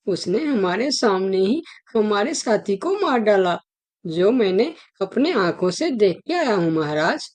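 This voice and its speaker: A woman's voice: medium pitch, darkish tone. A woman playing an anxious, deferential jungle animal in a cartoon, speaking in a respectful, pleading way. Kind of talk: Hindi animal cartoon dialogue.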